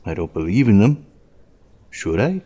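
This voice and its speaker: A man acting as a stolid small-town police officer in an audio drama, measured and dryly understated, with a philosophical streak. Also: very animated, husky, heavily acted. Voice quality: low, mellow voice.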